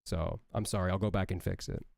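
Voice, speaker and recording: A man's voice: deep voice, fairly even intonation, fairly quick, vocal fry, darkish tone, dry recording, clean studio sound. A young man, a voice actor, reading out and answering chat questions on a livestream, with a nasal voice that sounds like he has a cold, playful and joking.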